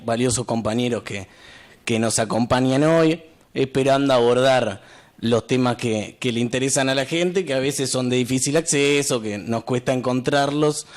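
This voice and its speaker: A man with a Rioplatense accent hosting a talk show, opening in a rambling way with restarts and repeated words. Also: medium pitch. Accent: Rioplatense Spanish. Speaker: host.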